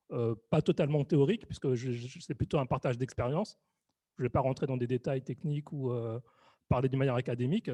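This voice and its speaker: A young man giving a halting conference talk, full of fillers and restarts. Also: medium pitch, mellow, no echo. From Réunion. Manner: hesitant, many fillers and restarts. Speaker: video artist giving a lecture.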